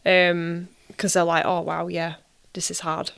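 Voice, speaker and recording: A woman, an actress being interviewed, chatting casually in an informal, expressive and giggly manner. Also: on the low side, measured pace, gravelly, clean tone.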